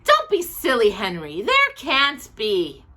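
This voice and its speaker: A woman voicing a brisk, kindly wife and mother in a storybook, speaking in an emphatic, exclaiming way. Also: on the high side, huge pitch swings, sharp tone, pitched above the performer's own voice, full character voice. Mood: incredulous.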